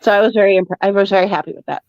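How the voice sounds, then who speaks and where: Slightly muffled, dry call audio carries an American woman's voice. She talks casually at a middling pitch, like a guest chatting on a livestream. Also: rapid-fire, vocal fry, a little mellow, no echo. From United States. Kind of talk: casual chat.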